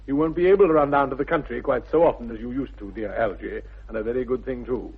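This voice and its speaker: An actor playing an exasperated, proper young gentleman with an upper-class English accent, huffy and earnest, in 1960s radio comedy style. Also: medium pitch, fast, breathy edge. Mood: indignant. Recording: muffled narrow-band vintage radio recording.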